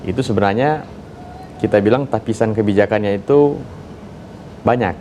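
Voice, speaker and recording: A man's voice: on the low side, normal speed, warm tone, slight background noise. A man with an Indonesian accent, speaking as an activist guest and explaining his view in an interview.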